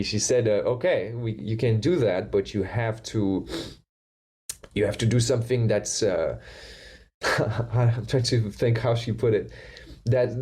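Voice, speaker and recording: French-accented man, low mellow voice. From southeastern France. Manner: thoughtful, halting, many restarts and fillers. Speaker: guest drummer. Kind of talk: casual interview answers.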